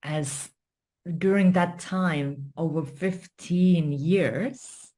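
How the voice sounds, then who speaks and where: Female interview guest with a Finnish accent. Low register, very unhurried, measured delivery for personal storytelling. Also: dark tone. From Finland. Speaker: guest, story coach and spiritual mentor.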